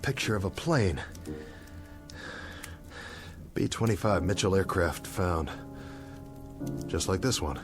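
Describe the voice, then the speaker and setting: A man's voice: sits low, lively intonation, measured pace, over music. A young man playing a stranded, guilt-ridden bomber captain who rambles and questions himself aloud in a dramatic radio play monologue. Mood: self-commanding, anxious. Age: young adult.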